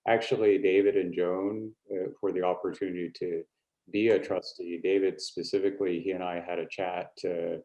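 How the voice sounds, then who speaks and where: An American man introducing himself informally at an online meeting, speaking haltingly with pauses mid-phrase. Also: low voice, flat delivery, mellow, highs cut off. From California, United States. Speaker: board trustee.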